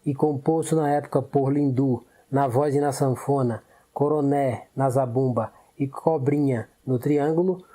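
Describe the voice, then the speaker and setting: A man's voice: a little high, mellow. A man presenting a radio culture segment in a formal, informative scripted read.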